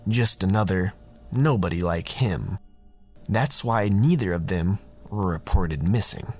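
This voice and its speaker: Slow-talking man telling a horror tale. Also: deep voice, a little mellow, soft background music. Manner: casual, wry, conversational. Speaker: narrator and podcast host. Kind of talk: scripted horror storytelling.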